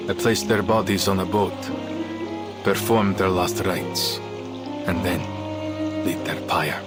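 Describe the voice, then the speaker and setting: Grief-hardened assassin, very low voice, solemn, game dialogue, music behind. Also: male voice, steady tone. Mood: somber, grieving. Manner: solemn, measured. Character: brooding, grief-hardened male Italian assassin seeking justice. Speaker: game character voice actor. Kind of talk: dramatic visual novel dialogue.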